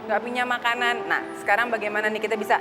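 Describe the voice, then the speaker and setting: A woman's voice: a little high, little pitch movement, fast talker. A woman hosting a talk show, casually interviewing guests and summing up their points. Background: music.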